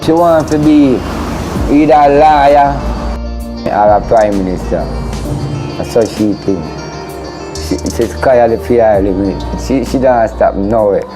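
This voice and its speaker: A man's voice: mid-range voice, takes it slowly, pauses often. Middle-aged Jamaican man speaking broad Patois. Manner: plain-spoken, colloquial. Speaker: interviewee, father of a missing woman. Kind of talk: casual spoken interview. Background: background music.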